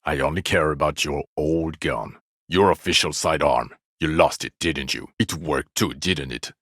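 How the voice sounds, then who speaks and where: An actor playing an exasperated, foul-mouthed police partner in a video game, world-weary, sarcastic and contemptuous in a gravelly voice. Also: male voice, a bit bright, dry recording, clean studio sound, heavily acted. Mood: sarcastic, fed up. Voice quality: gravelly, low. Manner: sardonic, weary, contemptuous, deliberate scolding. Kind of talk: video game character voice acting.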